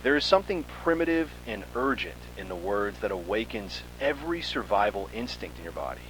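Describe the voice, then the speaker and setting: A man with a fairly low voice narrates a horror tale in the second person. His delivery is theatrical and full of suspense, swinging widely in intonation. A faint noisy hum sits behind the voice. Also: very animated, a little fast, fairly bright tone. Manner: dramatic, suspenseful storytelling.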